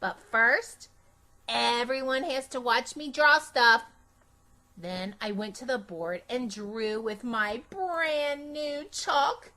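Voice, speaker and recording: Woman as a high-pitched, chatty little storybook girl. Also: takes it slowly, sharp tone. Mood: bossy, proud. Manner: childish, emphatic phrasing with dramatic stresses. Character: chatty, imaginative, bossy little girl telling her own story. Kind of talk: animated children's storybook read-aloud.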